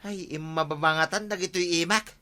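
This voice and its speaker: A man's voice: a little high, steady tone, a little fast, pushed and bright. A man with an Ilocano accent acting as a boastful, clumsy would-be village watchman in a radio comedy drama. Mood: blustering effort. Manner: boastful, blustering.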